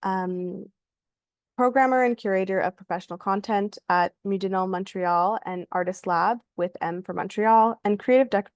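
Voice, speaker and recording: A woman's voice: on the low side, no room sound, studio quality. A woman hosting a talk, reading a scripted, formal introduction of a guest with the occasional stumble. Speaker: host introducing a guest speaker. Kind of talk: scripted introduction read aloud.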